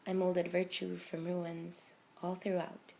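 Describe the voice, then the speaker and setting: Low-pitched young woman reciting slam poetry. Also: monotone, gravelly, dark tone, a little hiss or hum. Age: early 20s. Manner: deliberate, emotive delivery with dramatic pauses and emphasis. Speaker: slam poet. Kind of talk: slam poetry recitation, dramatic spoken word.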